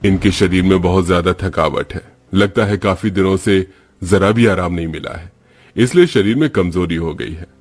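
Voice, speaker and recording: A man's voice: sits low, moderate pace, slightly rough. A man voicing male characters in a family cartoon story, with an everyday manner. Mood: advising. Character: young male relative and friend, also family doctor.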